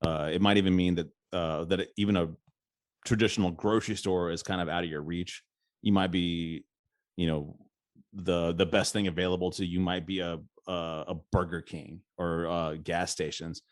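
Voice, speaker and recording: A man's voice: low voice, steady tone, moderate pace, dry recording, studio quality. A man hosting a podcast, talking in a loose, rambling way and laughing often. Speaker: podcast host. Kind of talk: casual interview chat.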